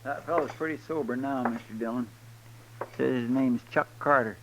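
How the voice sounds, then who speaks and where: An actor playing a folksy, loyal deputy with a rural American accent and deferential drawl in a 1950s radio western, on a muffled old recording. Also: male voice, medium pitch, moderate pace, heavy background noise, lightly acted. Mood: earnest, concerned.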